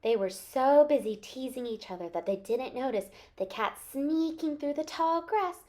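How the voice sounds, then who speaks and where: A woman storyteller with a high voice and big, swooping intonation. She reads expressively for young children, in the manner of a teacher. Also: animated, normal speed, a little mellow. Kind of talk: animated children's story reading.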